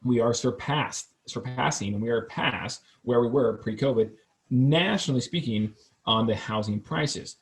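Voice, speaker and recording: A man talks into a podcast microphone in an office in a casual, energetic conversation. His voice sits in the middle range, and he jokes easily and tags his points with "right?". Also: mid-range voice, normal speed, low-quality recording. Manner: energetic, joking, fact-focused, says "right?" often. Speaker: real estate podcast host.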